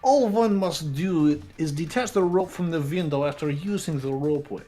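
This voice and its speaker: A man voicing a calm, precise detective explaining things formally in an amateur anime game dub. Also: fairly high pitch, normal speed, faint music behind, lightly acted. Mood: composed.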